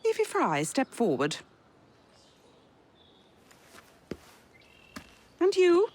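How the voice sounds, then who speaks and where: A woman's voice: high voice, wildly swooping pitch, normal speed, darkish tone, a little hiss or hum. A woman playing an imperious elderly queen in a video game, speaking formally and with command. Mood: commanding, ceremonial. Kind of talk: video game cutscene acting.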